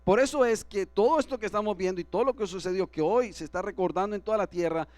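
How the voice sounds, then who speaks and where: A man's voice: high voice, fast talker, a little mellow, no room sound. A man preaching as pastor to his congregation, often asking them for agreement. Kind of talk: sermon. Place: church.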